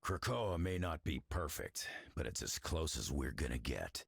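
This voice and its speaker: A rock-bottom, heavily rasping male brawler from a video game. He is gruff and surly, with blunt, sardonic phrasing and a growling creak. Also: very deep voice, expressive, moderate pace, full character voice. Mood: grudging. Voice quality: gravelly, raspy.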